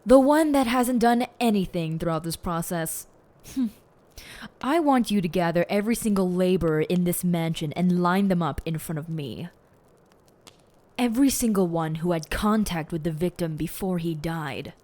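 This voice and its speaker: A woman playing a smug, theatrical, teasingly bossy lead detective in an audio roleplay, with pompous and deliberate phrasing. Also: fairly low pitch, expressive, darkish tone, natural voice.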